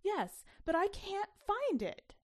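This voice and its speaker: Woman acting an anxious mother, swooping pitch. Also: takes it slowly, heavily acted. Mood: frantic exasperation. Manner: coaxing, exasperated, expressive. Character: anxious mother, adult woman, coaxing her child.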